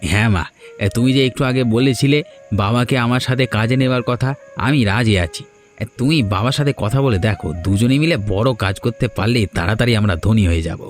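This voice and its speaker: A man's voice: mid-range voice, brisk, faint music behind, close to an everyday voice. A man voicing a sly, scheming young thief in a cartoon. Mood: glib. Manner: scheming, flustered when caught. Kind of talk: Bengali cartoon dubbing.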